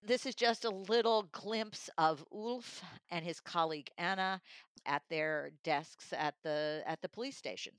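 A woman's voice: fairly low pitch, unhurried, smooth, dry recording. A middle-aged or older woman giving a conversational book review. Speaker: magazine publisher and audiobook reviewer.

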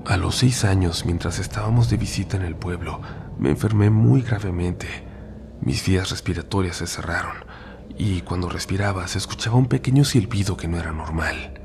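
A hoarse man hosting a horror story podcast, reading tales in a hushed, half-whispered voice. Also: sits low, a little hiss or hum. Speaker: podcast host.

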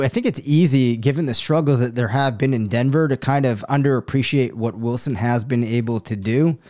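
Hoarse man hosting a sports podcast. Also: medium pitch, darkish tone. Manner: thinks aloud, many fillers and hedges. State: hoarse, coming down with a cold. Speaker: fantasy football podcast host. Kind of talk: live solo Q&A, answering listener questions.

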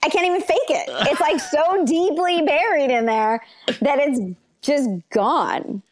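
A middle-aged woman with an American accent telling her life story as an interview guest, animated and quick to jump up in pitch for emphasis. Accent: General American. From London, then Los Angeles from age nine. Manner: animated, rising to high emphasis, laughs while talking.

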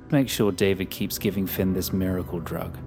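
A man's voice: low-pitched, steady tone, takes it slowly, over music. An actor playing a cold, domineering male leader in an audio drama, speaking in a commanding, callous way. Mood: cold, impatient.

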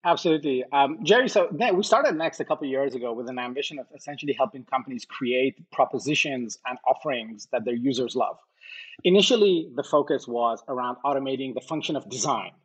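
Husky male founder from Iran, musing through answers with many "uh"s and restarts. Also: mid-range voice, animated, fast talker, low-quality recording. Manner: thoughtful, many fillers and restarts. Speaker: company co-founder and CEO, podcast guest. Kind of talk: conversational interview answers.

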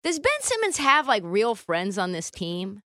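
A woman's voice: mid-range voice, wildly swooping pitch, measured pace, a bit bright, no echo, studio quality. A woman hosting a casual sports talk podcast in a conversational, punchy, playful way.

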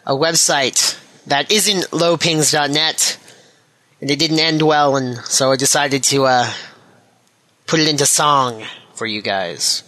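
Slightly high-voiced man in a casual recorded message. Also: slow, pushed and bright, dry recording, rough recording. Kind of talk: casual recorded message leading into a homemade parody ad song.

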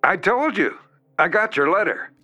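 A man's voice: high-pitched, animated, normal speed, bright, forceful tone, lo-fi sound. A man playing a self-assured horror author in an audio drama, chummy and breezy with a faintly sinister, controlling edge. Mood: smooth, persuasive.

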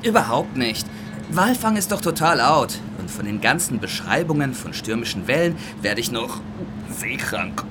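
An actor playing a bored, picky train conductor in a children's audio drama, grumbling and dismissive. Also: male voice, medium pitch, big pitch swings, normal speed, loud music behind the voice, natural voice.

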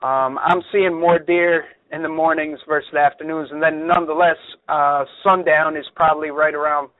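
Easygoing guy on a phone call, kinda high voice, super dry tinny sound, says man a lot. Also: flat delivery, moderate pace, no echo. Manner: laid-back, laughs often, says "man" a lot. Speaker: caller. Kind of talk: casual call-in chat.

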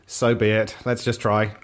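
A man in a casual group chat as a cast member, talking haltingly and repeating phrases for emphasis. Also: lowish voice.

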